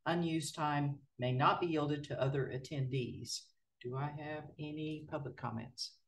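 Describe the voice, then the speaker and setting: A board chair running a city meeting in a folksy, relaxed way, with many filler words. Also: medium pitch, a little slow, a little hiss or hum. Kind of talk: formal meeting procedure mixed with casual remarks. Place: city council chamber. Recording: distant mic in a meeting room.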